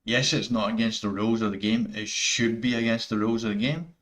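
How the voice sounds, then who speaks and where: A man's voice: fairly low pitch, steady tone, a little fast, a bit bright. A man with a thick Scottish accent, giving rambling, earnest opinions over gameplay footage, full of fillers and restarts. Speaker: gaming YouTuber.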